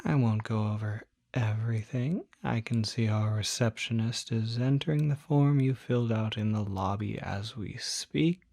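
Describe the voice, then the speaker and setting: A man playing a courteous demon doctor in an ASMR roleplay, speaking gently with a measured, politely formal bedside manner that often drops toward a whisper. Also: on the low side, gravelly, no room sound, studio quality. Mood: gentle, welcoming. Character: courteous, soft-spoken male demon doctor, fussy and reassuring. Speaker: doctor at a supernatural clinic.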